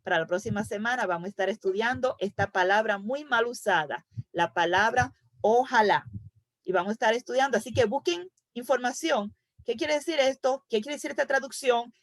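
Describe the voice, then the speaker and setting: Woman Bible study leader, earnest, rhetorical questions, rather high voice, dry close sound. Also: brisk, no room sound. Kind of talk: Bible teaching to a women's group.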